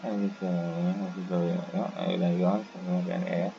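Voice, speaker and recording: A man giving casual, halting and rambling commentary as he plays through a game. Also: sits low, little pitch movement, creaky. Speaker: gaming video host. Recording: muffled mic with faint noise.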